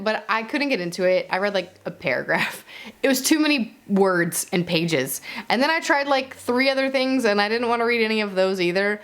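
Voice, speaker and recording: A woman talks casually to camera as a book vlogger, with very lively, swooping intonation. Her pitch sits in the middle range for a woman. She is informal and conversational, often correcting herself and dropping in playful asides. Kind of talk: casual chatty monologue to camera.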